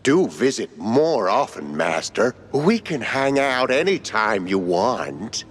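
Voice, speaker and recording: An eccentric, obsequious lab caretaker from a cartoonish game. He rambles theatrically at a slow pace, swooping up and down in pitch, his voice ringing with long reverb. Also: sing-song, slight background noise. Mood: rambling. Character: eccentric, servile male lab caretaker, gothic henchman. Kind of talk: cartoonish game cutscene acting.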